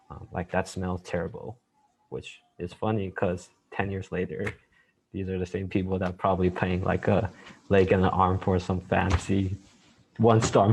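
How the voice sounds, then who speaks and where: A man from Malaysia speaking softly and hesitantly on a headset during a video call, with many fillers. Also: sits low, gravelly, slight background noise, poor audio. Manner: soft, hesitant, many fillers, trailing off quietly. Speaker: panelist. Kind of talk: casual discussion on a video call. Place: home room.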